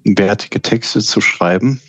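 A man in a band answering interview questions thoughtfully, pausing often with fillers. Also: lowish voice, fairly bright tone, no room sound. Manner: thoughtful, hesitant, many pauses. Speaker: band member. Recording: slightly dull, lo-fi sound.

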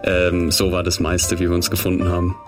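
A man's voice: low voice, monotone, a little fast, over music. A 22-year-old man from Würzburg, a band drummer, chatting casually in a radio interview.

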